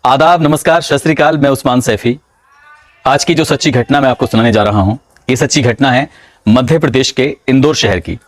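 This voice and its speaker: A man narrating a true-crime story in a measured, dramatic storytelling manner. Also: medium pitch, no echo.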